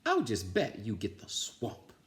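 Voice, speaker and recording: A big schoolkid in a storybook, acted by a man, knowing and ominous. Words are drawn out as warnings, with animated pitch. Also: measured pace.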